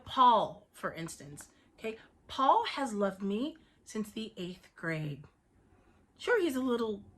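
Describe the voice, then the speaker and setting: An actress performs a wronged, lovesick wife in a comic-dramatic monologue. Her medium-pitched voice swings between dry sarcasm and stubborn pleading. She speaks emphatically, with halting, theatrical pauses. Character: distraught wife pleading against divorce, wry, stubbornly lovesick.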